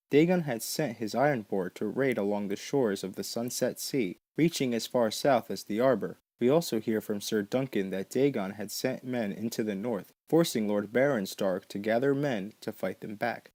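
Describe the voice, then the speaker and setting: A man's voice: lowish voice, moderate pace, few pauses, a little mellow, dry recording. Dry, close-sounding man narrating a podcast. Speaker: podcast host. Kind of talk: scripted narration.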